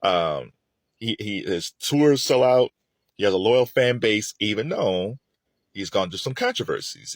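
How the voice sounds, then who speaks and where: A man's voice: mid-range voice, no room sound. A middle-aged man giving casual, opinionated music commentary in a conversational way, repeating phrases for emphasis.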